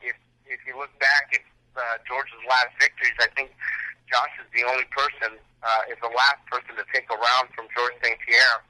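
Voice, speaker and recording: Guy coach on a phone interview, flat pitch, really bright sharp voice, kinda halting. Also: no room sound. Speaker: kickboxing and striking coach, interview guest. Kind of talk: phone interview, recounting training. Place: phone call. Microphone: telephone. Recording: bright, dry telephone line.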